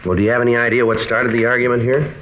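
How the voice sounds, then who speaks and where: A man's voice: mid-range voice, level tone, very fast, slight background noise, natural voice. A man playing a terse, businesslike American police detective in a mid-century radio drama, clipped and matter-of-fact. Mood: courteous, probing. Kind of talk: 1950s American radio drama acting and narration. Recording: dull old radio recording.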